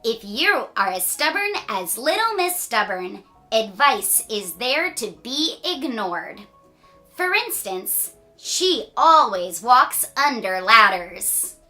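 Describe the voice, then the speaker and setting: Bright, slow, animated woman reading a storybook. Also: on the high side, faint music behind. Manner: playful asides, dramatic drawn-out emphasis. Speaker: children's story reader. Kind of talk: animated read-aloud storytelling.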